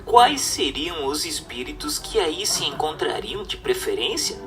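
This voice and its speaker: A male narrator reads scripted text for a radio drama with emphatic, declamatory delivery. His mid-pitched voice moves widely up and down. It is a little distant from the microphone, with music playing clearly behind him. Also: sing-song, unhurried, fairly bright tone.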